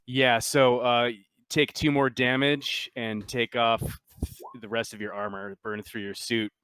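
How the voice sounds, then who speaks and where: A man's voice: mid-range voice, little pitch movement, measured pace, a bit bright, slight background noise. A man running a tabletop roleplaying game as game master, speaking in a casual, somewhat hesitant way.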